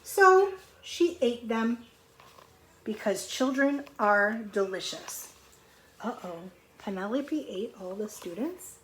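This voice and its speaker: A woman's voice: on the high side, animated, takes it slowly, a bit bright, a little hiss or hum, rough recording. A woman teacher reading a storybook aloud to young children, expressively, with conversational asides and questions.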